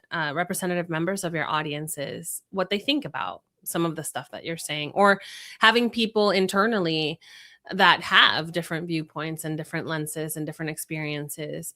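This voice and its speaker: A woman's voice: on the low side, pushed and bright. A woman from Venezuela whose first language is Spanish, a brand strategist explaining her ideas in a podcast interview with examples and rhetorical questions.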